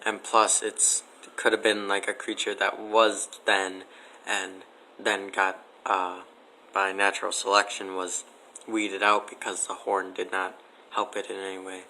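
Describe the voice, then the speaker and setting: A man giving casual, matter-of-fact interview answers in a deadpan way. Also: low voice, a little slow, a little hiss or hum, rough recording.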